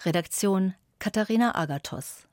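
A woman's voice: medium pitch, moderate pace, noisy background. A woman reading scripted credits as the announcer of a radio play.

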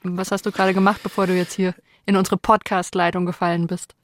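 A woman's voice: on the low side, little pitch movement, fast talker, dry recording. A woman hosting a conversational interview podcast in an informal, chatty and inquisitive way, close on the mic. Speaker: podcast host.

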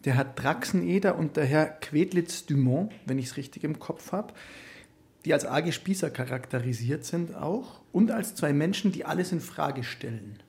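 A male radio interviewer with a fairly high, creaky voice. He puts his questions in a measured and articulate way, conversational but prepared. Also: expressive, darkish tone.

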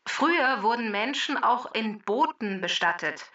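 Distant, slow, bright-voiced woman quiz moderator. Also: fairly high pitch, expressive. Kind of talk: scripted quiz narration for children. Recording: distant, dull band-limited sound.

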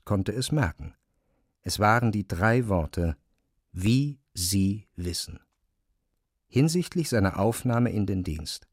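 A man's voice: low-pitched, no room sound, studio quality. A man reading scripted literary narration as the narrator of a radio play.

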